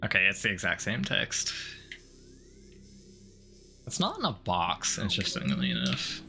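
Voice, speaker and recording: Slow-talking man doing casual game commentary. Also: low voice, wildly swooping pitch, creaky. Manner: swears freely, nitpicky gamer rambling. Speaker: streamer playing a video game. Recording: game music behind the voice. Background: video game music.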